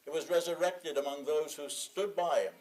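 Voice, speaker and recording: A man reading a sermon from a prepared text in a measured, deliberate way, leaning on key phrases and pausing between them. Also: fairly high pitch, darkish tone. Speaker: sermon reader in a church congregation.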